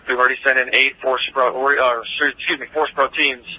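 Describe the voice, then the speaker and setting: A man's voice: medium pitch, flat delivery, fairly quick, dry recording, highs cut off. A man giving terse operational emergency reports over a police scanner radio.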